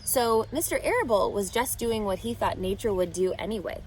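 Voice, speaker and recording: A woman reading a children's novel aloud with expressive, animated narration. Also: mid-range voice, fairly quick, a little hiss or hum, low-quality recording. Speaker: reader. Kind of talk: storybook read-aloud.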